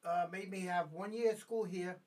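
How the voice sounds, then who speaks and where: A man guest, an animated storyteller who slips into Spanish. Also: high-pitched, normal speed. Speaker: guest, former radio worker. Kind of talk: casual chat. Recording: distant mic in a studio.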